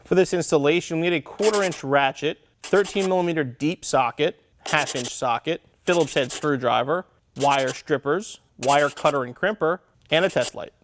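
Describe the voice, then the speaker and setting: A man presenting an instructional product demonstration as a tutorial host, explaining things clearly step by step. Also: fairly high pitch, normal speed, a bit bright, slight background noise. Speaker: host of car parts installation tutorial.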